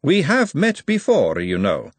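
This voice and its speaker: A man's voice: fairly high pitch, huge pitch swings, measured pace, slightly rough, dry recording, voice pushed up, exaggerated voice. A man voicing an imperious, disdainful noblewoman in a storybook, formal and pointed in manner. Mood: haughty. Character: haughty, imperious aristocratic lady, sharp and disdainful.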